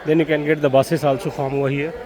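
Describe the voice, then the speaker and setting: A man's voice: on the high side, little pitch movement, fast talker. Indian-accented man guiding through a crowded station. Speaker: travel vlogger. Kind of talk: informal walk-through guide commentary. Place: public railway station, on the move. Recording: busy station crowd and vehicle noise.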